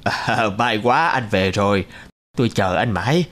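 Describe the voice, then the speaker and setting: A man's voice: on the low side, lively intonation, measured pace, a bit bright, soft background music. A man voicing an elderly, principled neighbourhood leader in a cartoon, explaining things earnestly. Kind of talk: animated cartoon dub.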